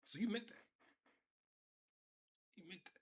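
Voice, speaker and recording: A man in his twenties chatting casually on a live stream, rambling and repeating himself as he talks to his chat from his room. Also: a little slow. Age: 20s.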